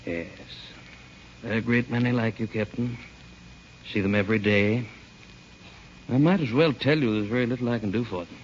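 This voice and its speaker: An actor playing a frank, sympathetic, matter-of-fact doctor in an old radio drama. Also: male voice, mid-range voice, normal speed, pauses often, natural voice. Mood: grave, sympathetic. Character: army captain's doctor, frank, measured, sympathetic. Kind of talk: 1930s-40s radio drama acting. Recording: dull, hissy old radio recording.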